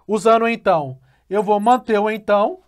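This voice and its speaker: A male math teacher patiently explaining a logic lesson, often checking in with a quick question and slowly repeating key phrases. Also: high-pitched.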